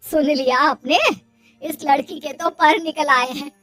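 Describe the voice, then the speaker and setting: A woman's voice: high-pitched, fairly even intonation, a little slow, bright, forceful tone, faint music behind. A woman playing a nagging, scolding middle-aged mother in a cartoon family drama. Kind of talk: Hindi cartoon dialogue.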